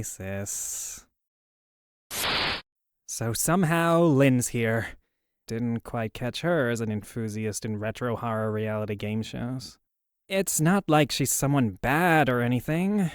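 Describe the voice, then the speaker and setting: A man's voice: medium pitch, smooth, no room sound, studio quality, close to an everyday voice. A man voicing a mild, hesitant younger brother in a cartoon game show. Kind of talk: cartoon character dialogue.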